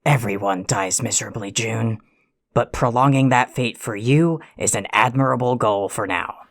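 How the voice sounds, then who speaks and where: An actor in an audio drama playing an irritable, foul-mouthed, self-important alien troll who rants and insults in a gravelly voice. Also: medium pitch, normal speed, soft background music. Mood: bossy, grim. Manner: ranting, insulting, pompously wordy.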